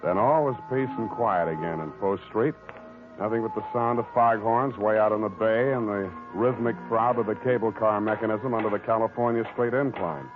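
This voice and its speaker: An American man acting a wry, weary hard-boiled private detective in an old-time radio drama, deadpan and sardonic. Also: on the low side, moderate pace, close to an everyday voice. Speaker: lead actor of a radio detective series. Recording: narrow, tinny old-time radio recording with music.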